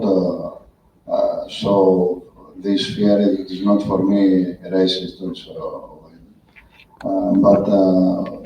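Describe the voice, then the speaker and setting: A man from Greece with a Greek accent, asking a halting question from the audience at a conference, recorded from far off in a hall. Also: deep voice, dark tone, a little hiss or hum. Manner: halting, many fillers and restarts. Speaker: audience member. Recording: roomy hall, far from the mic, dull.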